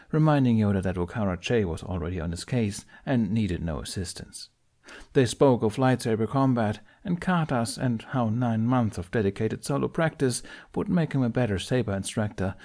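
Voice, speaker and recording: Lower-voiced man narrating a story. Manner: expressive storytelling with varied character voices. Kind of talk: reading fan fiction aloud.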